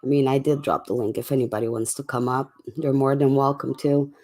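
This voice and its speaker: A livestream host chatting casually and in a laid-back way to viewers late at night, with a voice going hoarse from a cough. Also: mid-range voice, little pitch movement, a little fast, darkish tone. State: sick with a cough, voice getting hoarse.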